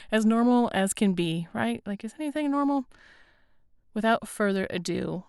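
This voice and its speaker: A woman hosting a podcast, speaking earnestly and gently with a raspy, hoarse voice. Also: medium pitch, a little slow, no echo, studio quality. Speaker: podcast host. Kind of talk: scripted podcast intro and interview.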